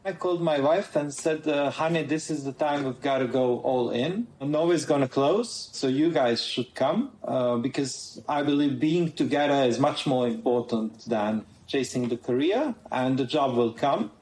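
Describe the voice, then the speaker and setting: A man's voice: mid-range voice, normal speed, few pauses, darkish tone, echoey room, slight background noise, rough recording. A man from Croatia with a Croatian accent, a guest in a conversational interview, earnestly laying out his points in order.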